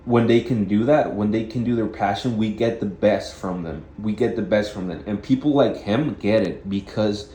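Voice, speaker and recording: A man with a deep voice giving a rambling spiritual self-help monologue, halting and repeating phrases as he goes. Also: mellow, roomy sound, slight background noise. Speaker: vlogger.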